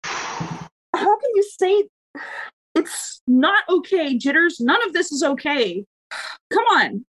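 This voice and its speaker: A woman's voice: high voice, big pitch swings, slow, pauses often, a bit bright, rough recording. A woman, casually improvising as a player in a tabletop roleplaying game. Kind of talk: casual, improvised game play with in-character lines.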